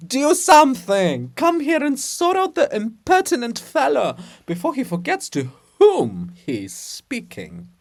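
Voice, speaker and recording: Man acting as proud princess monument, scornful, cutting, haughty, very animated, slow delivery, farce reading. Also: extremely high pitch, gravelly, voice pushed up, heavily acted. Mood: shouting, imperious.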